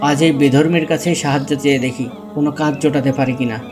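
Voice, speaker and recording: A man's voice: a little high, fairly even intonation, quick pace, loud music behind the voice, lightly acted. A man playing a poor, devout, remorseful husband who pleads earnestly in a dubbed religious cartoon. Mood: anguished, repentant. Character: poor pious husband Ali, humble and remorseful.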